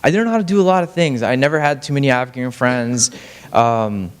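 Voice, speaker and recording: A man's voice: mid-range voice, fairly quick, slight background noise. Young man rambling through a casual talk. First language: Farsi. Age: young adult. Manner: rambling, self-deprecating, many fillers and restarts. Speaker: student speaker. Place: lecture stage.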